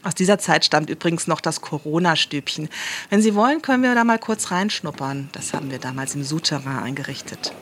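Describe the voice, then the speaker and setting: An actor playing a smug, cynical official in a satirical German radio play, explaining things in a smooth, matter-of-fact, callous way. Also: medium pitch, animated, fairly quick, a bit bright, slight background noise, lightly acted. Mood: breezy, callous. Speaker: guide and official showing visitors around.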